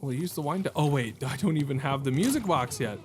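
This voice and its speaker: A man's voice: fairly high pitch, expressive, fairly quick. A man hosting a gaming video with casual let's-play commentary, thinking aloud in a wry, jokey way. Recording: game ambience and noise behind voice.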